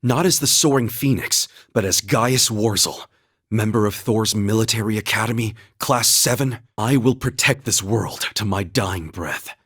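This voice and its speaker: A steadfast young warrior-knight from a video game. His voice sits low with a breathy, husky grain. He speaks with solemn, measured formality and earnest devotion. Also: a bit bright, no room sound, clean studio sound. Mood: heartfelt, resolute. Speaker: video game character voice actor. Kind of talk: dramatic English video game voice acting.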